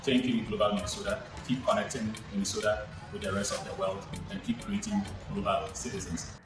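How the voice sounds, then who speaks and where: A man from Ghana, speaking slowly and earnestly about his work experience. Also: lowish voice, little pitch movement. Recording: very echoey room with music.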